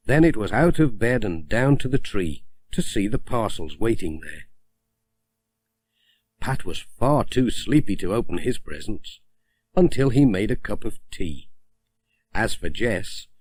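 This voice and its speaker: A man narrating a children's story as an audiobook reader, in a gentle, expressive storytelling manner. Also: on the low side, no room sound.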